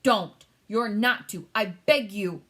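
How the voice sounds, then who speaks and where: A woman's voice: medium pitch, takes it slowly, fairly bright tone. A woman voicing an earnest, proper little girl in a storybook, explaining and scolding firmly. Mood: pleading.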